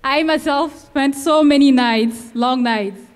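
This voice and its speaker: Young woman speaking high into long reverb. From Rwanda. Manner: measured, earnest, emphatic pauses. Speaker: graduating student speaker. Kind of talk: commencement speech. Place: large outdoor ceremony venue. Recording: long reverb from a large venue.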